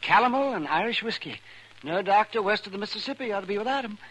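A man's voice: high voice, animated, quick pace, fairly bright tone, low-quality recording. An American actor playing a wry, hemming frontier doctor who likes his whiskey, in a 1950s radio western. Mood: sly, jovial. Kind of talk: 1950s radio drama acting. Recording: 1950s radio recording, faint noise.

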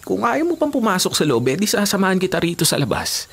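A man's voice: sits high, big pitch swings, fast talker, gravelly, fairly bright tone, husky, heavily acted. A man playing a brave, devoted young boyfriend with earnest melodrama in a Filipino radio drama. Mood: devoted, resolute. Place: small radio studio. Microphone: studio condenser microphone. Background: music bed.

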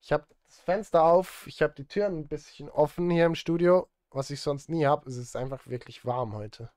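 A man's voice: on the high side, moderate pace, a little mellow, dry recording. A man in his thirties chatting casually on a livestream from a small studio, thinking aloud in informal murmured asides as he works. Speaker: livestreamer and film composer. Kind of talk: casual livestream chat, muttering while working. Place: small home music studio, indoors.

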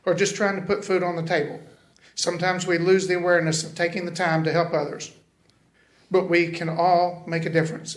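A middle-aged man with a hoarse voice leads a solemn, measured prayer from a podium. His voice sits fairly high. The sound rings through a large courtroom. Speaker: invocation speaker. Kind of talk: spoken prayer. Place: large county courtroom, indoors. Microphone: podium microphone. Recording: echoey large hall.

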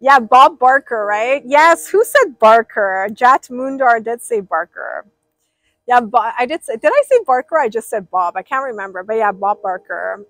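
A woman's voice: fairly high pitch, expressive, moderate pace, a bit bright, dry recording, poor audio. Chatty young woman streaming live. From Persian. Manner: chatty, rambling, reads out viewer names. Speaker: livestreamer. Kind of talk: casual chat with viewers. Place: outdoors by a pool.

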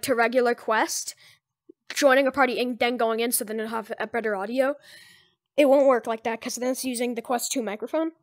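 A speaker giving a casual, off-the-cuff software tutorial in a loose, rambling manner as host. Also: high-pitched, no echo, studio quality. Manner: rambling, loosely strung instructions.

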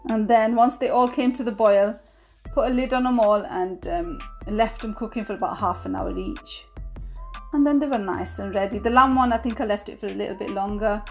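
A woman giving a relaxed, chatty voice-over of her home cooking, with fillers now and then. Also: mid-range voice, moderate pace, soft background music. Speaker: cooking vlogger. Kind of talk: casual voice-over narration.

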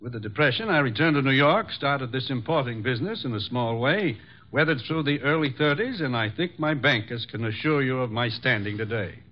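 A man plays a polished middle-aged importer in a radio mystery. His mid-pitched voice moves expressively, courteous yet guarded. The sound is that of a dull old broadcast. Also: animated, fairly quick, natural voice. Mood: guarded, polite. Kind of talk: 1950s radio drama acting.

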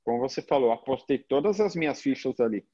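A man's voice: medium pitch, expressive, fast talker, dark tone, slightly rough. São Paulo man chatting, slightly muffled. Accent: São Paulo Brazilian Portuguese. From São Paulo, Brazil. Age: 30s. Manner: informal, slangy, frequent tag questions. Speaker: interview guest, agency founder. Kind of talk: casual anecdotal chat. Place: indoor room at home, video call. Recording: slightly dull video-call audio.

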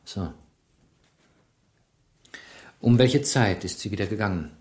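A low-voiced, probing police inspector. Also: male voice, darkish tone. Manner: polite, measured, inquisitive. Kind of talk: German radio play acting.

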